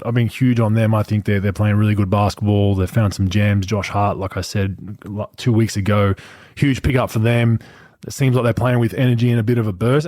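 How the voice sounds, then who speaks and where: A man in his late thirties hosting a podcast, chatting about sport in a relaxed, loose way. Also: medium pitch, no echo. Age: 30s. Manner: relaxed, chatty, frequent restarts. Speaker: podcast host. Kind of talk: casual sports chat.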